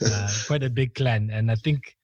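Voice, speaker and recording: A man's voice: mid-range voice, normal speed, dry recording, dull audio. Chatty young man from Malawi, dry sound. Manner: laughs often, relaxed and chatty with filler words. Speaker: podcast host. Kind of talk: casual interview chat.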